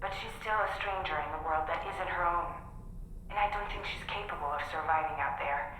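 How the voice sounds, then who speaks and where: A woman's voice: lowish voice, a little fast, bright, forceful tone. Anxious female crewmate, heavily echoing. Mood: worried, pleading. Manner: earnest, urgent. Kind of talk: dramatic video game cutscene acting. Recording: very echoey with faint background noise.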